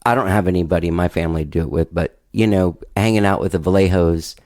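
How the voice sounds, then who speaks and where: A man's voice: sits low, quick pace, a little mellow. A man hosting a casual interview podcast, easygoing, with short encouraging responses and loose questions. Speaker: podcast host.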